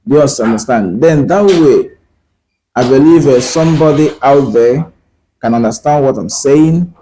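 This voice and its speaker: Earnest Igbo-accented man, mellow voice. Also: medium pitch, a little hiss or hum. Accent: Nigerian, Igbo. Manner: code-switching between English and Igbo, earnest; rhetorical questions and pauses with fillers. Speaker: language teacher. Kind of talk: talking to camera about language.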